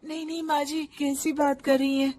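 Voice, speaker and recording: Woman putting on a high, girlish daughter-in-law. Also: normal speed, lightly acted. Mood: surprised. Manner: childlike, pleading, excitable. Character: giggly, naive, put-upon young daughter-in-law. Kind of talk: Hindi moral-story cartoon voice acting.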